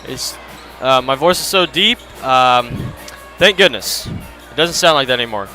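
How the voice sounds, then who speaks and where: Man, Midwestern American accent, sports announcer, rambling chatty pregame talk, bright tone. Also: mid-range voice, lively intonation, a little slow, soft background music, low-quality recording. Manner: rambling, chatty, thanking sponsors. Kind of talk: casual pregame commentary. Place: high school gym.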